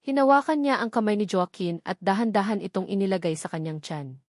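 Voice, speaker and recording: A woman narrating a scripted romance audio drama in an even storytelling manner. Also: medium pitch, brisk, no echo, clean studio sound.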